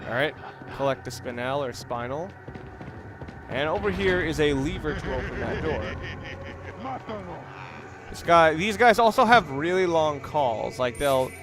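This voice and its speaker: A man with a fairly high voice talks through a video game in an offhand let's-play style. He rambles, halts and often rewords what he has just said. Game music plays clearly behind him. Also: lively intonation. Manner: halting, rambling, often rephrasing himself. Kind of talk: casual gameplay commentary.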